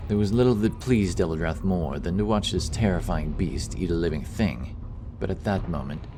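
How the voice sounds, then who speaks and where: A man's voice: sits low, moderate pace, noisy background. A man narrating a scripted fantasy audiobook.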